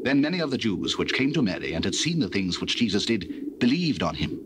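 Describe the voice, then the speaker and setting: A man with a British accent reading dramatized scripture as narrator, measured and solemn. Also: fairly low pitch, very animated. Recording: hissy old cassette recording.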